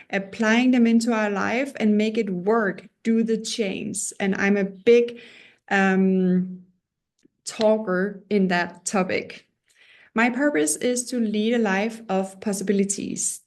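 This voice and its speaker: A woman's voice: mid-range voice, darkish tone. A woman from Denmark in her thirties with a Danish accent, giving the introduction to an online parenting course in an earnest, personal way with small restarts and self-deprecating asides. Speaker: course teacher.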